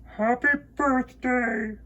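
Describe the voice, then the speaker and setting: A man voicing a friendly storybook moon that replies in drawn-out, mimicking calls. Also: extremely high pitch, steady tone, a bit bright, lo-fi sound, pitched above the performer's own voice, exaggerated voice. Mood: echoing, friendly. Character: storybook moon, gently echoing a bear's words back.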